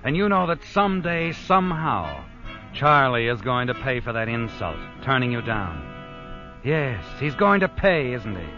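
A man's voice: medium pitch, big pitch swings, a little slow. A man playing a knowing, ominous narrator in old radio suspense drama, dramatic and needling in his phrasing. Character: knowing, ominous noir narrator addressing the culprit. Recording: dull old radio broadcast. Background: dramatic music.

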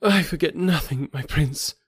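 A man's voice: fairly high pitch, unhurried, slightly rough, no room sound, pitched above the performer's own voice. A man voicing a devoted young woman who speaks fervently in formal, old-fashioned phrasing. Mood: ardent.

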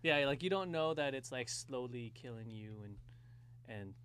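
A man in casual conversation, talking in a halting, jokey way. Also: medium pitch, animated, fairly bright tone. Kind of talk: casual chat.

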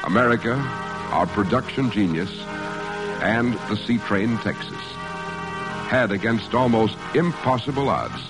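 A man's voice: lowish voice, lively intonation, a little slow, low-quality recording. A man working as a radio announcer, reading scripted narration in a formal, declamatory 1950s style. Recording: vintage radio broadcast, music behind.

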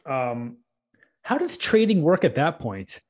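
A man in his late thirties hosting a podcast, asking questions in a casual, relaxed way and using hypotheticals. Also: a little high, slow pace. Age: 30s. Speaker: podcast host. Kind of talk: conversational podcast interview.

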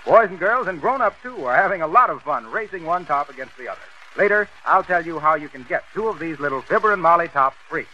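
A man with an American accent announcing a 1930s radio show in a polished, salesmanlike way, reading scripted pitches and scene-setting. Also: lively intonation, quick pace, few pauses. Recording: muffled, noisy 1930s radio recording.

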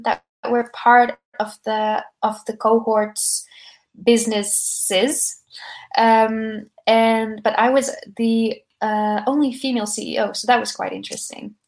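A soft-spoken young woman, a startup co-founder, talking casually into a podcast microphone. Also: on the high side, steady tone, takes it slowly. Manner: soft-spoken, conversational, with fillers. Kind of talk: podcast interview answers.

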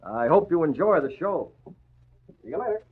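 Male, cool sardonic masked vigilante, 1940s radio serial, husky and clipped, phone-thin vintage sound. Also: a little high, sing-song, moderate pace. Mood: commanding. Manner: clipped, taunting commands. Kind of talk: 1940s radio drama acting. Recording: muffled tinny vintage radio recording.

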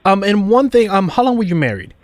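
A man hosts a casual interview in a voice pitched a bit higher than average. His questions come out halting and rambling. The recording is dry, with little room sound. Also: big pitch swings, no room sound. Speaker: podcast host, interviewer.

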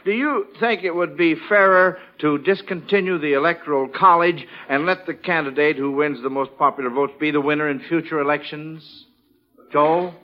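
A man's voice: on the high side, normal speed, muffled recording. A man hosting an old 1940s radio panel show, chatting off the cuff with children in a folksy, encouraging way. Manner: folksy, encouraging, addresses children as son and fellas. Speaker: quiz show host. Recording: old radio broadcast with faint audience.

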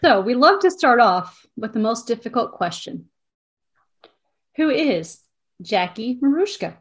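A woman's voice: on the high side, very animated, unhurried. A woman hosting a podcast interview, speaking in a casual, effusive and complimentary manner. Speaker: podcast host.

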